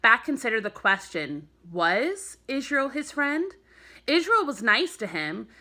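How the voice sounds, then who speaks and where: A woman narrates a children's storybook in the manner of a schoolteacher. Her voice is bright and a little high. The storytelling is plain to follow, pitched at young listeners. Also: big pitch swings, unhurried. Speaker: elementary school teacher.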